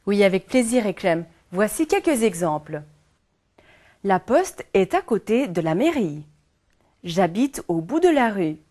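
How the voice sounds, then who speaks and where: A woman co-host of a language lesson, reading scripted example phrases clearly and carefully. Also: mid-range voice, big pitch swings, measured pace, warm tone.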